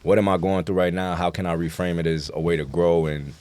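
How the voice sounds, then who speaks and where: A man's voice: low-pitched, level tone, a little fast, darkish tone, no room sound. A man chatting casually as an interview guest, explaining things earnestly in street slang. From New York City.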